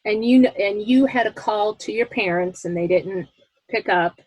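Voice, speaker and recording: A woman's voice: mid-range voice, normal speed, a little mellow, husky, no echo, low-quality recording. A woman actor in a casual creative discussion, agreeable and tentative as she offers ideas. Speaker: improv audio drama actor.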